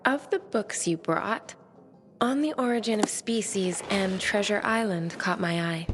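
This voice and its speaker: An actress playing a shy, dreamy, bookish young woman who speaks softly and wistfully, often half-whispering. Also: mid-range voice, measured pace, noisy background, close to an everyday voice.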